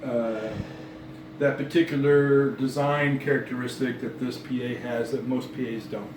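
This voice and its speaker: A man giving a casual, halting demonstration of sound gear, often repeating and rephrasing himself. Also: mid-range voice, fairly even intonation, recorded from a distance. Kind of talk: casual explanatory demonstration chat. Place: dining room at home, indoors. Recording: roomy, noisy home recording off mic.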